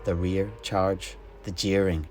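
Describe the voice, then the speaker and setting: A man narrating a fantasy battle tale in character, theatrically and with deliberate, dramatic pauses. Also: low voice, flat delivery, a little mellow, a little hiss or hum. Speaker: storyteller guest in an in-character fantasy chat.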